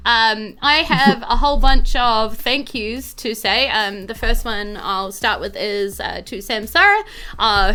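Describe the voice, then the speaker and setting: A woman's voice: medium pitch, bright, forceful tone. A woman with a New Zealand accent, effusive and gushing as she hosts a casual podcast chat. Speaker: podcast host giving thanks and announcements.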